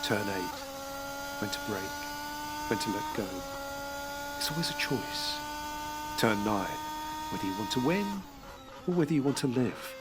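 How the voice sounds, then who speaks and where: A man's voice: medium pitch, wildly swooping pitch, measured pace, long pauses, background noise. A middle-aged man voicing a veteran male racing driver, speaking a terse, clipped inner monologue in an audio drama with music behind him. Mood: brooding. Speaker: narrator and lead character.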